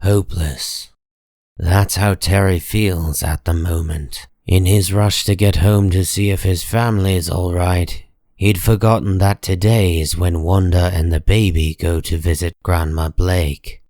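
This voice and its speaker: A man narrating a scripted comic story in a measured, deliberate dramatic style. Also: low voice, fairly even intonation, a little mellow, no room sound, studio quality.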